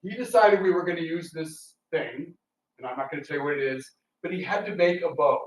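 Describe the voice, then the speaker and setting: A man with a fairly high voice chats in a rambling, storytelling way, tossing in teasing asides. The recording is very roomy, picked up from a distance, with some light noise behind him. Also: fairly quick. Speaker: radio theatre actor and panel speaker. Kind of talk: casual, rambling talk to an audience. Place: indoor talk in a roomy space. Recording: roomy, distant, noisy live room recording.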